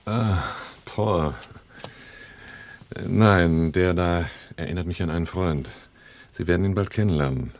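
Low-voiced, cool-headed male smuggler. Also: a little slow, dry recording. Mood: businesslike, guarded. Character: cool, cautious male arms smuggler on the run, calculating. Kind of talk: German radio drama dialogue and first-person narration.

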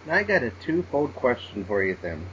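A man's voice: mid-range voice, very animated, moderate pace, a bit bright. A man hosting a podcast interview, talking casually with hesitant, stumbling restarts. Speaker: podcast host. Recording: dull lo-fi sound with faint noise.

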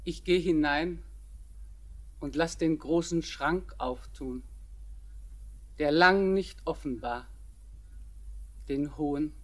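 A very slow, solemn old grandmother. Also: low-pitched. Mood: solemn, reminiscing. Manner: solemn, measured, deliberate. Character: solemn old grandmother, reminiscing with deliberate dignity. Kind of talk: German radio drama acting.

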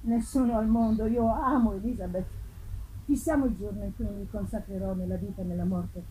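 A woman as a haunted, mellow-voiced young gentleman. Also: mid-range voice, brisk, a little hiss or hum, close to an everyday voice, rough recording. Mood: dread, resigned. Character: tormented young gentleman haunted by dread, confessing to his beloved. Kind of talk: dramatic first-person reading of a gothic novel.

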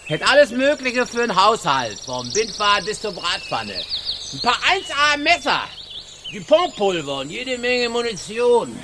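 A man's voice: high-pitched, sing-song, measured pace, background noise. An actor playing a wheedling travelling tinker in a radio drama, with colloquial, folksy salesman phrasing. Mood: hawking wares. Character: wheedling, sly male travelling tinker and peddler. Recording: loud outdoor insect and animal ambience.

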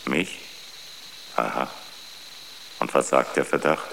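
An actor playing a calm, impassive ship's computer in a radio drama, speaking in a measured, unemotional way. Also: male voice, very deep voice, gravelly, background noise, exaggerated voice. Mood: calm, guarded. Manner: measured, matter-of-fact, unemotional.

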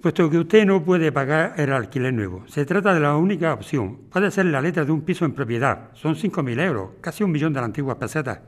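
A man with an accent from Spain playing a smooth, unyielding property company agent in an audio drama, formal, measured and condescending. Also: a little high, animated. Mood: smooth persuasion.

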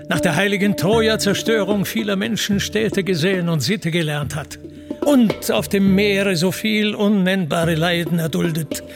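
A man's voice: high-pitched, creaky. A man reciting classical epic verse as a storyteller, in a solemn, declamatory, dramatic manner. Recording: music under the voice. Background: musical accompaniment.

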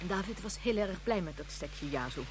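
A woman's voice: fairly low pitch, expressive, normal speed, background noise, natural voice. A woman acting a firm, earnest, protective mother figure in a radio play.

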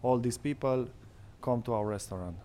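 A man's voice: mid-range voice, moderate pace, a crowd in the background. A man, a waiter explaining things to a visitor.

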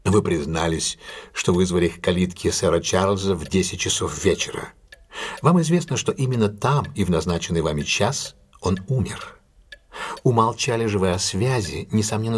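Composed, low-voiced detective. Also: male voice, lively intonation, breathy edge. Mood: insistent, measured. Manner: measured, assured, formally polite, deliberate. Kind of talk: audio drama acting, classic detective story.

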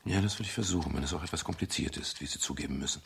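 A man's voice: very deep voice, rapid-fire, gravelly, slight background noise. An actor playing a wry, weary private detective in a radio crime play, dry and laconic as he narrates and talks. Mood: dry, probing. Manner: dry, laconic, hesitant politeness. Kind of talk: 1970s German radio crime play, first-person narration and dialogue.

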